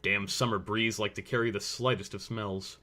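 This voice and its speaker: Low-voiced man narrating a story. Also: fairly even intonation, normal speed, sharp tone.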